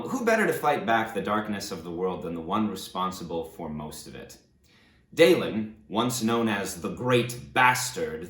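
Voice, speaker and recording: Roomy, echoing sound around a man with a very low-pitched voice. He presents casually, in a self-deprecating and slightly hesitant way, like someone chatting to his online viewers. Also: a bit bright. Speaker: actor presenting a book reading video. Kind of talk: casual, rambling talk to camera.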